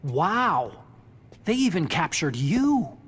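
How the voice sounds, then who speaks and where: A man's voice: fairly high pitch, sing-song, takes it slowly, very noisy. An actor playing a timid, kind, nerdy young man in a video game, flustered and whiny. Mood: surprised. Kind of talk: video game cutscene acting. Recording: music under the voice.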